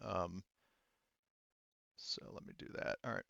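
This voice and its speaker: A man's voice: low-pitched, fairly even intonation, takes it slowly, creaky, pushed and bright, no room sound, highs cut off. A man speaking casually and emphatically as game master, explaining options to players in a tabletop roleplaying game.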